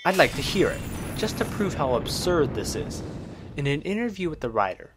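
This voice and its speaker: A man's voice: on the high side, big pitch swings, normal speed, background noise. A man reading a scripted game review as a narrator. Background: music under the voice.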